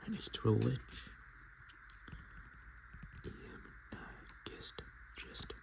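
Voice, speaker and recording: A man hosting softspoken, whispered ASMR in a murmured, unhurried, casual and self-deprecating way. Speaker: ASMR video host.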